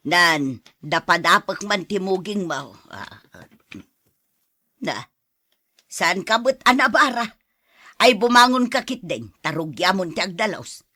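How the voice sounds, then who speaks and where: A woman's voice: fairly low pitch, animated, slow pace, pauses often, sharp tone, exaggerated voice. A woman playing an elderly, grumbling grandmother in an Ilocano radio drama, plain-spoken and scolding, with an Ilocano accent. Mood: bossy. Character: elderly grandmother and mother, grumbling, partial to favorites.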